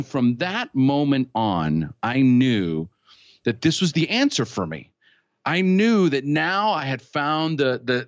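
A man's voice: a little high, no echo. A middle-aged man from California, a podcast guest, telling his own story frankly and with lots of feeling. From California, United States. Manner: animated, frank, laughs easily. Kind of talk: candid conversational storytelling. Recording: dull lo-fi sound.

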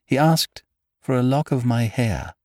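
A man's voice: mid-range voice, measured pace, a little mellow, dry recording, clean studio sound, voice pushed up, natural voice. A man reading an audiobook, voicing a troubled young woman who hesitates and grows frightened as she speaks. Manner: hesitant, trembling, rising to alarm. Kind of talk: audiobook character voice.

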